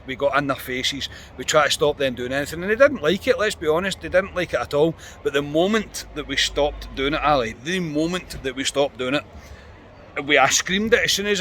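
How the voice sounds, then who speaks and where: A Scottish man hosting a football podcast outdoors, talking in an animated, frustrated way. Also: fairly high pitch, a little hiss or hum. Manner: animated, frustrated, quick restarts. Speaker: podcast host. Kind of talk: post-match fan reaction chat. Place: outdoors on a street.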